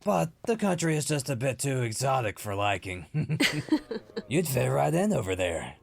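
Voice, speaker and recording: An actor playing a haughty, patronizing Lord Chancellor in a fantasy audio drama. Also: male voice, medium pitch, sing-song, a little slow, creaky, slightly rough, heavily acted. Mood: smug. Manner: pompous, patronizing. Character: haughty, condescending male Lord Chancellor at a royal court.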